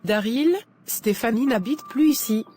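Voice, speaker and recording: A woman's voice: fairly high pitch, unhurried, darkish tone, breathy edge. A woman voicing a frank young cartoon friend. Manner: direct, sympathetic.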